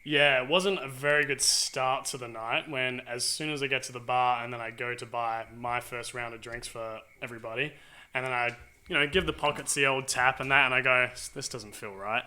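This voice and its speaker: A man tells a laid-back, animated story on a casual podcast. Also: medium pitch, moderate pace. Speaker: podcast co-host.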